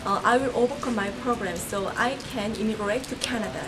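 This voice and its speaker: A woman's voice: medium pitch, brisk. A woman from Korea, an English language student giving a careful, rehearsed statement. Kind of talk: scripted personal statement. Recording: noisy background.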